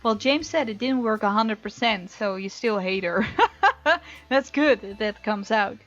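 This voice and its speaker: A woman's voice: medium pitch, measured pace, dull audio. A woman hosting a let's play, reading game text aloud and reacting casually and expressively. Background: faint game music.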